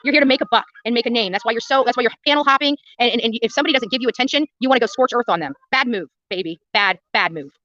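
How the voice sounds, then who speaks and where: Fast, combative American woman livestreamer. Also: a little high, bright, forceful tone, dull audio, a little hiss or hum. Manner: blunt, combative, repetitive for emphasis. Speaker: livestream host and protest activist. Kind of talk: livestream rant to viewers.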